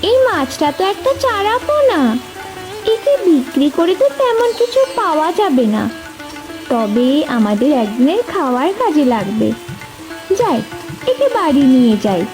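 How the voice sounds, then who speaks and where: A woman's voice: high-pitched, big pitch swings, moderate pace, music in the background. A young woman playing an earnest, determined, tender-hearted village girl in a cartoon, with emotive delivery. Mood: thoughtful surprise. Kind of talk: cartoon voice acting.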